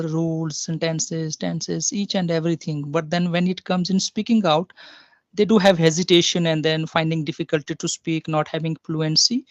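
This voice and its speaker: A man's voice: a little high, no echo. A middle-aged man with an Indian accent, explaining things in a casual chat, with many fillers and self-corrections. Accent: Indian English. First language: Pahari. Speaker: conversation partner. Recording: dull, lo-fi dry sound.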